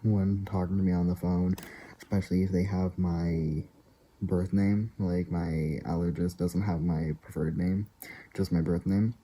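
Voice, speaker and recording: A man vlogging in a casual, rambling way, full of fillers and frequent soft laughs. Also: low voice, level tone, measured pace, a little hiss or hum.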